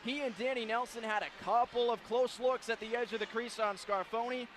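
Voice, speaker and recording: High, bright-voiced male hockey announcer. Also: a little fast. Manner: excitable, building to shouted scoring calls. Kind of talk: live sports radio commentary. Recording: live broadcast with clear background noise.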